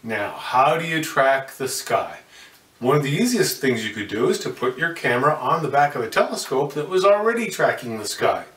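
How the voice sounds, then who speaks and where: A man's voice: medium pitch, sing-song, moderate pace, a bit bright. An older man giving a hands-on demonstration of telescope mounts, folksy and full of fillers and self-corrections. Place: indoor room in front of a curtain. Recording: roomy, slightly distant sound.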